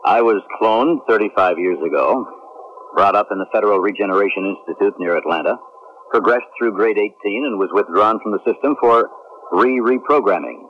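Low male voice, actor as detached clone crewman, stammer, formal delivery, muffled old radio drama. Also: normal speed, lightly acted. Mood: flat, obedient. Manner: flat, formal, deferential. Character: emotionless male human clone astronaut, dutiful and clipped. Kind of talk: 1970s science fiction radio drama acting. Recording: muffled, noisy 1970s radio recording.

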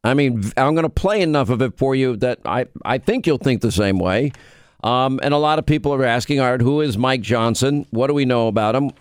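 Rambling middle-aged radio host, strained voice. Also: male voice, medium pitch, a little fast, dry recording. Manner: rambling, storytelling, self-interrupting. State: voice going in and out. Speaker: radio talk show host. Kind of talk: conversational radio monologue.

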